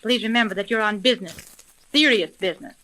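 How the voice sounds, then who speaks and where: An actress playing a brisk, commanding young female private detective in a crisp, authoritative 1930s radio drama style. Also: medium pitch, pushed and bright, close to an everyday voice, poor audio. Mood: businesslike, firm. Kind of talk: 1930s old-time radio drama acting.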